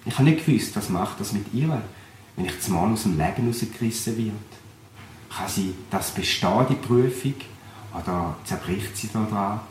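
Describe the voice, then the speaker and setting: A young Swiss pastor with a Thurgau accent speaks in an earnest, reflective way, his voice sitting somewhat low. The recording is roomy, with a faint hum of background noise behind him. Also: expressive, normal speed, a little mellow. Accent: Swiss German, Thurgau. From Switzerland. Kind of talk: personal testimony.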